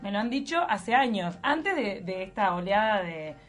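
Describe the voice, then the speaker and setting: A woman's voice: mid-range voice, normal speed, soft background music. A woman with a Uruguayan accent, telling stories animatedly in a casual panel discussion. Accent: Uruguayan Spanish. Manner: indignant, animated storytelling.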